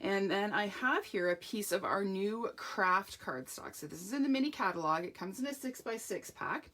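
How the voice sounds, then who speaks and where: A middle-aged woman from Canada, hosting a chatty live craft demonstration with a hoarse, croaky voice and greeting viewers by name. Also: medium pitch, expressive, moderate pace, fairly bright tone. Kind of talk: live-stream craft demo.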